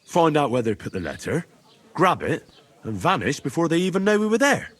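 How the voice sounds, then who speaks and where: An actor playing an earnest, level-headed and loyal young squire in a medieval video game. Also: male voice, on the high side, sing-song, a little fast, a bit bright. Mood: reasoning. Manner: earnest, reassuring. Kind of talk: video game cutscene acting.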